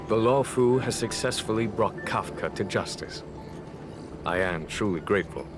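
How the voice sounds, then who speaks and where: An actor playing a composed, shrewd military leader in a video game, speaking in a measured, formal, diplomatic manner. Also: male voice, on the low side. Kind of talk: scripted video game dialogue.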